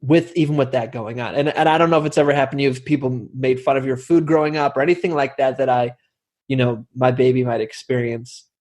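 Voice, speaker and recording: A young man hosts a relaxed interview from home. He rambles, circles back and restarts his sentences, filling gaps with "you know" as he works his way to each question. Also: mid-range voice. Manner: rambling, many fillers and restarts. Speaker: podcast host. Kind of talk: casual conversational interview.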